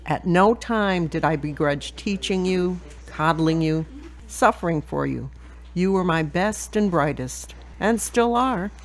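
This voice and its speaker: Casting: woman to play devoted dying elderly mother, lower register, solemn, loving, radio drama. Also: expressive, a little slow. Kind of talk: audio drama monologue.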